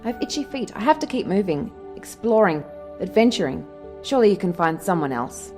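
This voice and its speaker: A woman's voice: mid-range voice, sing-song, fairly quick, darkish tone, faint music behind. A woman playing a plucky, restless alien adventurer in an audio drama, speaking in a wry, earnest way. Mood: protesting.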